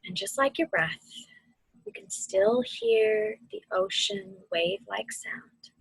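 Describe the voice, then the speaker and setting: A woman with a soft, breathy voice gently guiding children through a mindfulness meditation, soothing and deliberate. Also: high voice, wildly swooping pitch, dry recording, low-quality recording. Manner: soothing, gentle, deliberate, often dropping to a near-whisper. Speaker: mindfulness instructor and host of a weekly video series.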